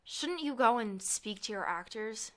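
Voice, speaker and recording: A woman playing a hurt, resentful and anxious young student in an audio drama, sharp but vulnerable. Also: on the high side, animated, measured pace. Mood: bitter, defiant.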